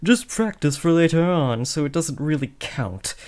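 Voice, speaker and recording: A man's voice: fairly high pitch, lively intonation, moderate pace, darkish tone, lightly acted. A young man playing a villain's teenage son in a scripted roleplay, taunting theatrically and slipping into sheepish, casual talk. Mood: casual, boastful. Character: teenage villain's son playing at kidnapping, cocky but awkward. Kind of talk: scripted roleplay audio addressed to the listener.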